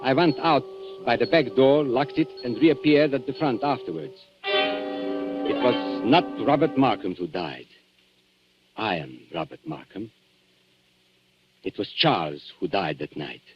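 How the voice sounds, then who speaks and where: An actor playing a suave, coolly calculating antique dealer who kills, smooth, mock-polite and theatrical, in a 1940s radio thriller. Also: male voice, medium pitch, big pitch swings, unhurried, long pauses. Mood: grave, confessional. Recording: dull 1940s radio recording with music.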